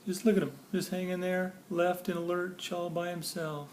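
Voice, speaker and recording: A man reading a children's picture book aloud expressively, with pauses between phrases and chatty explanations to listeners. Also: high-pitched, flat delivery, slight background noise. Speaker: storybook reader. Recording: echoey room.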